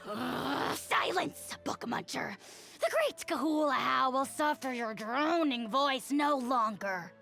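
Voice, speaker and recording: A woman voicing a tiny, arrogant, vengeful dragon spirit in a video game, with a gravelly, piercing voice and a haughty, contemptuous manner. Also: high-pitched, lively intonation, takes it slowly, pushed and bright, soft background music, exaggerated voice. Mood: scornful. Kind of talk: video game character acting.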